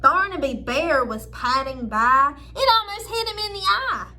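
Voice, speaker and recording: A woman reading a children's picture book aloud expressively, pausing for simple asides to listeners. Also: sits high, big pitch swings, bright, forceful tone.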